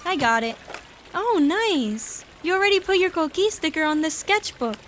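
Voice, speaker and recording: A woman's voice: extremely high pitch, expressive, moderate pace, noisy background. An actress playing a cheerful, eager young girl in an audio drama. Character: cheerful young girl Alexa who knits.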